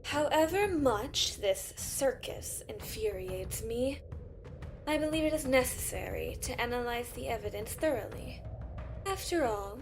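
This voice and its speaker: A woman playing a haughty, coolly amused council member in a fantasy audio drama, measured and contemptuous. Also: on the high side, lively intonation, faint music behind. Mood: irritated.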